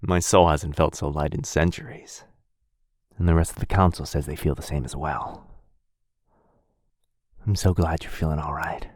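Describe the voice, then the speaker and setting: A man playing a gentle, centuries-old vampire lover in an ASMR roleplay, soft-spoken and reassuring. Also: very deep voice, fairly even intonation, normal speed, pauses often, no room sound, studio quality. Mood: glad, affectionate.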